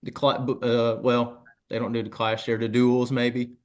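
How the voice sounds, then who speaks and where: Casual twenty-year-old man, mid-pitched voice. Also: completely flat delivery, unhurried. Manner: informal, frequent "you know". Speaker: interviewer, co-host. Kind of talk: casual interview chat.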